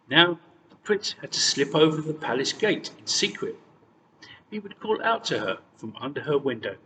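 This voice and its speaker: A man narrates a storybook tale with very lively intonation. He sounds set back from the microphone, with long reverb and faint noise behind him. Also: mid-range voice, recorded from a distance. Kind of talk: expressive scripted fairy-tale narration.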